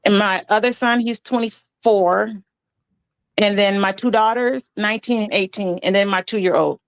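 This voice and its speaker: A woman in her forties telling her story in an interview, matter-of-fact and a little halting. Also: mid-range voice, no room sound. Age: 40s. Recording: dull, dry lo-fi clip audio.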